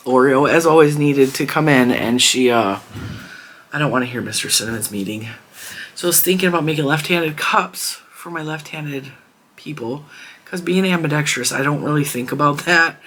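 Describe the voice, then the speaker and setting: A hoarse woman talks to her viewers in a loose, rambling way. Her voice is rough and worn, but her words stay friendly and open. Faint noise hums under the recording. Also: sits low. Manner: chatty, rambling and warm toward viewers. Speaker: crochet channel host. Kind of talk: casual chat to camera.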